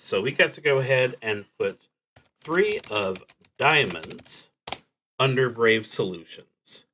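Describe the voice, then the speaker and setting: A man with a mid-pitched voice talks his way through a solo tabletop game on a livestream. His delivery is slow and deliberate, unscripted, often halting and restarting as he thinks out loud. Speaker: solo roleplaying game streamer.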